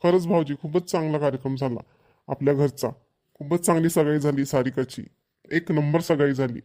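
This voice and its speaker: A man's voice: on the high side, steady tone, moderate pace, dark tone, close to an everyday voice. A man voicing a chatty, contented village family man in a cartoon, speaking rural Varhadi Marathi in an emphatic, repetitive way. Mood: pleased, chatty. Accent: rural Maharashtrian, Varhadi.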